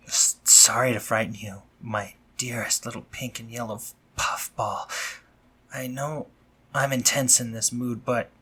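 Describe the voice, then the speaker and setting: A man playing a doting, dominant spider-monster doctor in an intimate roleplay audio drama, husky and breathy, speaking tenderly yet commandingly. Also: medium pitch, sharp tone. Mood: anguished tenderness. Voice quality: husky, breathy, sometimes whispering.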